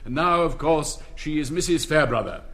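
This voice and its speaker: Pompous old English headmaster, fairly high-voiced. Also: male voice, moderate pace, gravelly, fairly bright tone. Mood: genial, rambling. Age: older. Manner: pompous, rambling, donnish. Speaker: retiring school headmaster. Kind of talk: stage comedy speech-making.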